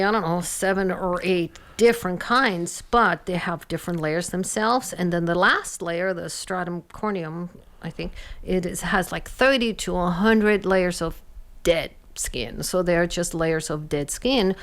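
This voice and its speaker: A woman, a guest researcher calmly explaining health topics on a radio talk show. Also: on the low side, measured pace. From Germany. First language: German. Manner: calm, explains science plainly. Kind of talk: explanatory conversation.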